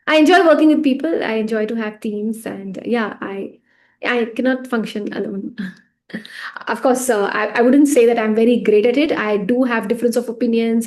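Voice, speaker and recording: A woman speaks in a slightly higher register and keeps a relaxed, conversational flow. She laughs easily, and her voice often sinks quietly at the ends of phrases as she answers questions about her work as a healing teacher. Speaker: pranic healing teacher and center organizer. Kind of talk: casual interview answers.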